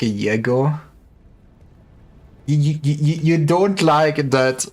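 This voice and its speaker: Rambling, stammering man chatting on stream. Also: medium pitch. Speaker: livestreamer. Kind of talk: casual gaming livestream chat.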